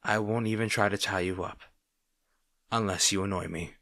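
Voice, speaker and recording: Man playing a low-voiced, grudgingly caring supervillain. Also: fairly even intonation, no room sound, clean studio sound. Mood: reluctant, caring. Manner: halting, sighing, exasperated yet soft. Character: grudgingly protective male supervillain rival, sarcastic but caring. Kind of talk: intimate audio roleplay acting addressed to the listener.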